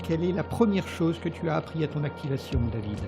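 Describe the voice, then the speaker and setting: Man playing a commanding engineer, lively intonation. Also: a little high, fast talker, vocal fry. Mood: probing, firm. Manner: authoritative, probing. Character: commanding android-engineer father, probing and determined. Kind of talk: French audio drama acting. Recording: music behind the voice.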